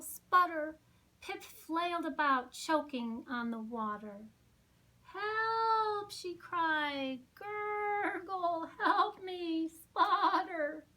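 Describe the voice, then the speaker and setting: A woman reading a picture book aloud to young children, with dramatic, drawn-out phrasing and little asides. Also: high-pitched, sharp tone. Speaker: children's storytime reader.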